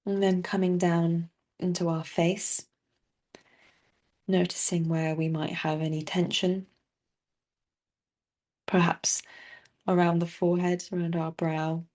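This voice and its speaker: Slow, mellow woman guiding meditation. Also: on the low side, level tone. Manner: soft, unhurried, soothing delivery with long pauses. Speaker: meditation teacher. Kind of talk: guided body scan meditation.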